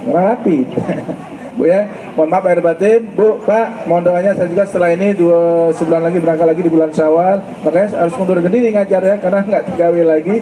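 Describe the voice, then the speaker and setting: Brief: middle-aged male preacher, very high voice, playful quizzing style, echoey noisy hall. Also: fairly even intonation, brisk. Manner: joking, quizzes the audience. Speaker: ustaz. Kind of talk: humorous sermon to school students. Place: school event hall. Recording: echoey hall, background noise and traffic.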